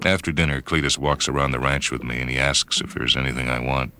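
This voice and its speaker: A man reading a short story for radio as a brooding first-person narrator, with dramatic pauses. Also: extremely low pitch, fairly even intonation, pushed and bright, slight background noise. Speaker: story reader. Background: music and sound cues.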